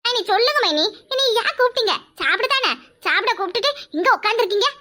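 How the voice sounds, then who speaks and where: A woman's voice: very high voice, animated, fast talker, bright, forceful tone, exaggerated voice. A woman voicing a cheeky, freeloading young sister-in-law who teases and giggles in a family comedy skit. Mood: teasing. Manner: teasing, giggly, sing-song.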